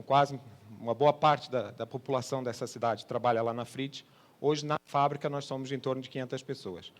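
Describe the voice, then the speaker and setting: Brazilian-Portuguese accented man presenting. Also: medium pitch. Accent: Brazilian with a Portuguese tinge. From Brazil (born Rio de Janeiro) and Portugal. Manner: explanatory, frequent check-in tags. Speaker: sales manager giving a conference talk. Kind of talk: conference presentation, conversational. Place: trade congress presentation space.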